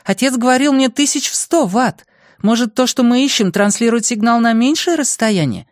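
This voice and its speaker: A man's voice: very high-pitched, animated, moderate pace, no room sound, studio quality, pitched above the performer's own voice. A man voicing a young girl in an audiobook, inventive and tough but tentative, reasoning things out thoughtfully. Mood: earnest, reasoning.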